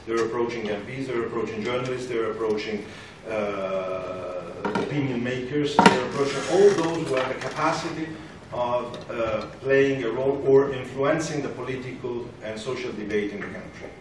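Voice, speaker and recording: Halting man in an echoey room. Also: medium pitch. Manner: halting, hesitant delivery with frequent repeated words. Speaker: panel speaker. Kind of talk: political round-table address. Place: large parliament meeting room, indoors. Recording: roomy, distant, noisy conference recording.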